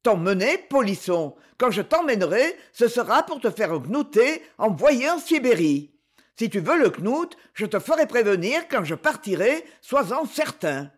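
A woman reading aloud and voicing a stout, blustering old male general who shouts in panic and fury. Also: on the high side, sing-song, a little slow. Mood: furious.

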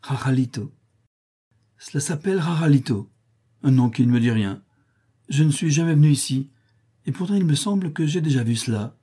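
Man playing an earnest young desert tracker, husky. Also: a little high, lively intonation, moderate pace, pauses often, mellow, pitched above the performer's own voice. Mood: puzzled, insistent. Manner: earnest, emotional.

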